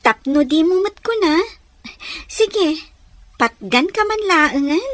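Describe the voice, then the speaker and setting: An actress playing a modest, dutiful young ranch daughter in a radio drama, with a sweet, earnest manner. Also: female voice, high-pitched, expressive, slow, a bit bright, no room sound, exaggerated voice. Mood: shy, friendly. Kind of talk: Ilocano radio drama acting.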